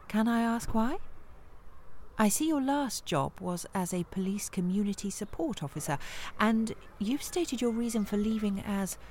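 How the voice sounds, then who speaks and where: An actress in a comic audio play voicing a prim, formal interviewer who stays officious and politely strained with a job candidate. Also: female voice, mid-range voice, a little mellow, slight background noise, close to an everyday voice. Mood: politely sympathetic. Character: prim, patient, increasingly strained female prison service interviewer.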